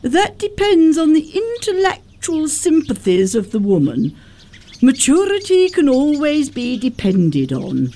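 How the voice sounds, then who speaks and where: A woman's voice: high voice, wildly swooping pitch, unhurried, mellow, exaggerated voice. A woman playing a prim middle-aged governess, pompous, moralizing and coyly flirtatious, in a theatrical radio comedy of manners. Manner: pompous, precise, emphatic.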